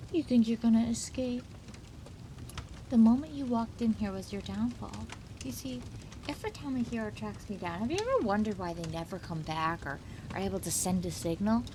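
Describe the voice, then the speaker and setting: A high-pitched, unhinged villainess acted by a woman toys with her prey in a theatrical ASMR roleplay. She teases in a gleeful sing-song, prone to giggles. There is audible noise behind the voice. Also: a bit bright. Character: gleeful, taunting, unhinged female supervillain toying with captive.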